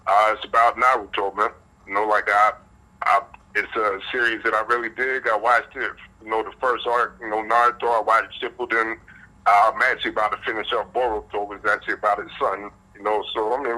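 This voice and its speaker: A man's voice: on the low side, pushed and bright, poor audio. A man from North Carolina, a hip-hop artist, talking casually as an interview guest in a laid-back, rambling way.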